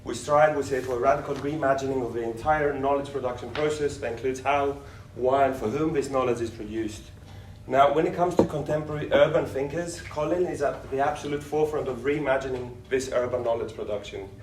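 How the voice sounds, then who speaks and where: Echoey, distant man giving a formal introduction. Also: medium pitch. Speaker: host introducing a speaker. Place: indoor university lecture event. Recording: echoey room, distant mic, background noise.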